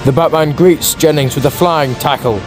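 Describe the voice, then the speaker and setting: A man's voice: fairly high pitch, moderate pace. A man narrating a comic-book audiobook in a dramatic, scripted storytelling style. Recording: background music.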